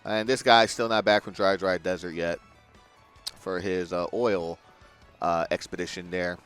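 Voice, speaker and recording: A man's voice: deep voice, steady tone, unhurried, fairly bright tone. A man casually commenting while playing a game, laid-back with crude jokes and mumbled asides. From Puerto Rico. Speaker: gaming video host. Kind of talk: casual let's-play commentary. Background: game music.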